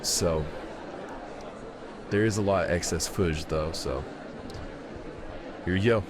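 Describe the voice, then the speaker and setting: A man acts out a calm military tech expert in an audio drama. His voice is extremely low, his delivery casual and low-key. Clear noise runs through the recording. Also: slow pace. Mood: matter-of-fact. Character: calm military operative and gadget maker working with the CIA. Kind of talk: amateur audio drama acting. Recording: clearly noisy home recording.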